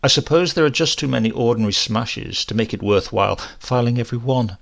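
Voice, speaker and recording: A man reading a first-person horror story aloud in a dramatic, confiding and expressive manner. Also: mid-range voice, fast, creaky. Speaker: story reader.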